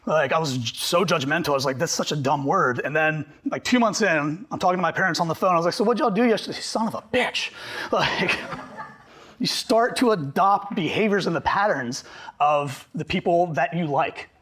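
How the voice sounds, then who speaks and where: A young man from Pennsylvania presents a workshop in a meeting room, talking fast and animatedly. His voice sits a touch higher than most men's and is a little hoarse, with a chatty, self-deprecating tone. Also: a bit bright. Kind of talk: interactive training talk. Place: meeting room with live audience.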